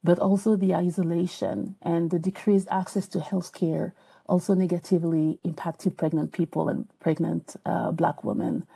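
A woman's voice: on the low side, gravelly, mellow, breathy edge, low-quality recording. A woman from France with a French accent, a podcast guest speaking earnestly and reflectively, with frequent hesitations and restarts. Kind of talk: personal interview talk.

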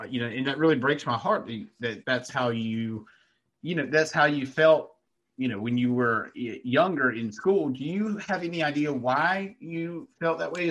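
A male host in a conversational interview, with a voice at an ordinary middle pitch. He responds with sympathy and asks gentle follow-up questions. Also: highs cut off.

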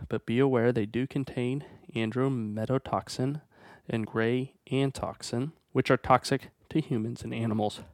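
A man's voice: medium pitch, no room sound, studio quality. Stuffed-up man reading plant notes. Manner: steady, informative, reads from a script. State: stuffed up from a cold. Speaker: plant nursery host.

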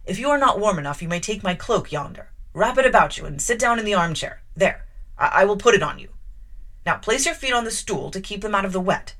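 A woman playing a brusque, commanding gentleman. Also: mid-range voice, expressive, fairly quick. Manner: blustering, imperious. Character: gruff commanding gentleman, middle-aged, brusque.

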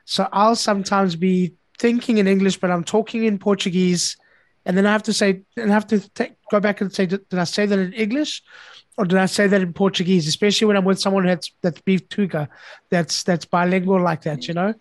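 A man's voice: high voice, fairly even intonation, fairly quick, no room sound. A man from South Africa hosting an interview, chatting casually with frequent stammered restarts. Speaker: podcast host.

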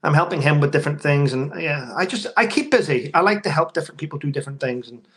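A man in his forties with a fairly high voice, hosting a podcast. He talks in an easygoing, laid-back way and gives friendly, unhurried answers in casual conversation. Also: a bit bright. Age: 40s. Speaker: podcast host. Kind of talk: casual chat.

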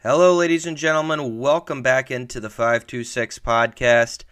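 A man's voice: medium pitch, steady tone, normal speed, fairly bright tone, clean tone, faint music behind. A man hosting a solo sports podcast, fighting the start of a cold and rambling through a frustrated game recap. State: early cold, slightly hoarse. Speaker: podcast host.

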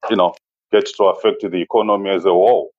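A man in his forties with a Zimbabwean accent, speaking as an interview guest in a measured, halting way and often saying "you know". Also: low voice, steady tone, no room sound, highs cut off. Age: 40s. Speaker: interview guest, safari business owner. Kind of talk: conversational interview, personal recollection.

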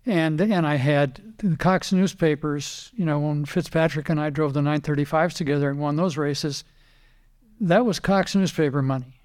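An older man and retired racing driver, a podcast guest telling animated anecdotes, quoting others and often repeating words. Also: on the high side, moderate pace. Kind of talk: casual storytelling interview.

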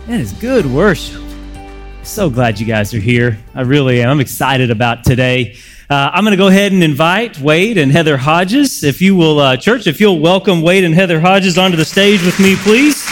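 A man hosting a casual live interview on a church stage, speaking informally and haltingly, often repeating phrases. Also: fairly high pitch. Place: large church room, on stage. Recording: echoey hall, clear background noise.

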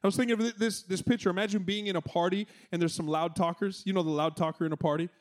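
High-voiced pastor preaching with energy. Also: male voice, quick pace. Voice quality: loud voice. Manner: energetic, conversational preaching with playful asides. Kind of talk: sermon.

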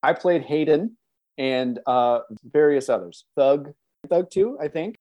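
A man's voice: a little high, slow, lo-fi sound. An American man from the Chicago suburbs chatting casually as an interview guest, in a self-deprecating, chuckly way. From suburban Chicago, United States.

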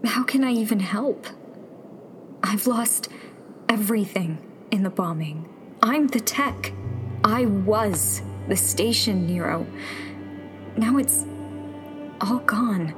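A woman playing an anxious, sardonic rebel tech in a sci-fi radio drama, halting and breathy with nervous sarcasm. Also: medium pitch, lively intonation, music in the background. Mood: bleak, weary.

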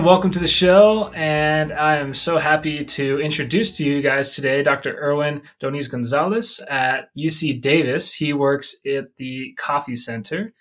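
A man's voice: mid-range voice, fairly bright tone. A man hosting an interview podcast in an upbeat, informal and enthusiastic manner.

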